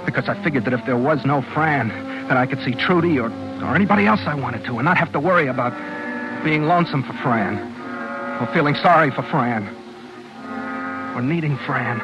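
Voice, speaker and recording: A man's voice: mid-range voice, expressive, brisk, long pauses, over music, natural voice. A man playing an obsessive, jilted boyfriend in a 1940s radio drama, intense and emotional, wheedling and menacing. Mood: brooding confession. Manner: intense, emotional, shifts from soft coaxing to bullying. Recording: muffled 1940s radio broadcast recording.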